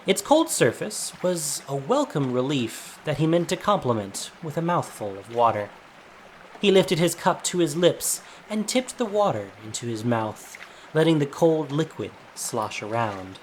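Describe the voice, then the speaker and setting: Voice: medium pitch, very animated, unhurried, a little hiss or hum. A narrator reading a scripted fantasy story in a dramatic audio drama style. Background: soft music.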